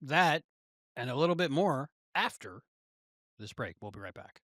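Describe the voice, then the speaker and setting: A man's voice: mid-range voice, sing-song, normal speed, fairly bright tone, no room sound, studio quality. A man co-hosting a podcast, talking casually as he discusses and reviews a show. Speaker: podcast co-host.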